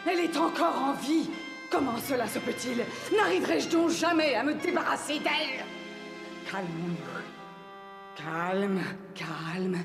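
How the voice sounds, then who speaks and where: A woman's voice: high voice, lively intonation, unhurried, vocal fry, full character voice. A woman playing a vain, jealous evil queen in a French cartoon dub, commanding and theatrical. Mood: outraged. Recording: echoey voice over background music.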